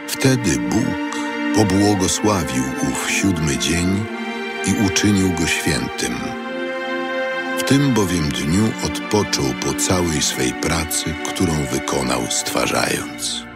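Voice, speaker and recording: A man narrating an audio Bible in a solemn, measured, scripted reading. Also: low-pitched, expressive. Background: loud music.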